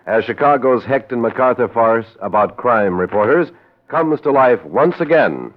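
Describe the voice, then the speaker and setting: A man's voice: medium pitch, expressive, normal speed. An American man announcing from a script in the style of mid-century network radio. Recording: muffled old radio broadcast recording.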